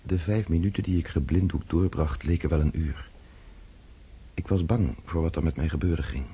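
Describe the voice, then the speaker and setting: Earnest, deep-voiced searching count. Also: male voice, normal speed, mellow, highs cut off, a little hiss or hum. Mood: reflective. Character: earnest, searching young count, anxious to reform himself. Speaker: radio drama actor. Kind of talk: radio drama acting and first-person narration.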